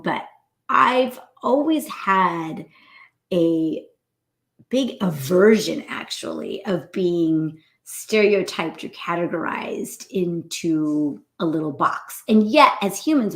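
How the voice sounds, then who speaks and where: Animated woman hosting a spiritual chat. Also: a little slow. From New York, USA. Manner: animated, tags lines with "right?", laughs often; acts out quoted voices in a high pitch. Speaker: host, doctor. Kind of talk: casual spiritual talk to live viewers.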